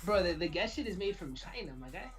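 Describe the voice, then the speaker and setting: A man co-hosting a podcast, talking fast in slangy banter and often repeating or restarting his words. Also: high-pitched, big pitch swings, a bit bright. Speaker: podcast co-host. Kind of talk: casual banter.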